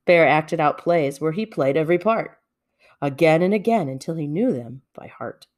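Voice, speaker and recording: Female storybook reader, expressive children's narration, slightly low-pitched with very lively intonation. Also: moderate pace.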